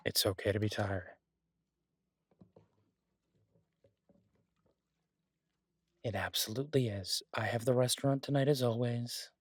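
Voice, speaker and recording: A man playing a devoted, easygoing husband who cooks, talking in a casual, halting, reassuring way in an intimate audio roleplay. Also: medium pitch, dry recording, natural voice. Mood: tender, reassuring. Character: devoted, easygoing husband and cook, gently teasing. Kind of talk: intimate one-sided audio roleplay, conversational.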